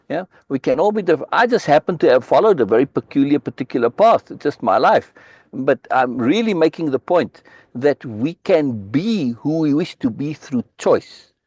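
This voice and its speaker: A man hosts a live reading discussion over a video call, pressing his points with insistent repetition. His voice sits fairly high and rises and falls widely. The sound is dry and close, with no room echo. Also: a little mellow. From South Africa. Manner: emphatic, insistent, repeats key words. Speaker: host leading a book reading discussion.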